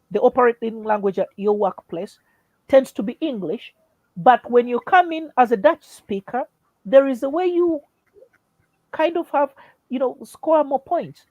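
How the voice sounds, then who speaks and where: A man's voice: extremely high pitch, animated, moderate pace, a little mellow, no room sound, rough recording. A man invited as an education expert in an online discussion, explaining things in a hesitant, affirming way with frequent restarts over a video call.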